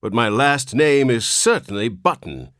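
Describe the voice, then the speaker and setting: A man's voice: medium pitch, expressive, measured pace, fairly bright tone, dry recording, studio quality, pitched above the performer's own voice. A man voicing a querulous ancient old man who has just been born, with a cracked, whining voice. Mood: querulous whine.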